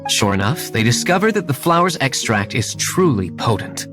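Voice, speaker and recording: An actor playing a composed, shrewd young gentleman winery owner in a video game, formal and measured, with music behind him. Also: mid-range voice, big pitch swings, lightly acted. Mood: explaining. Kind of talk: video game character acting.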